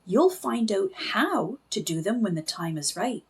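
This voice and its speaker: A woman's voice: mid-range voice, big pitch swings, a little slow, breathy edge, exaggerated voice. A woman voicing a tiny magical storybook companion, neither boy nor girl, chirpy, encouraging and reassuring.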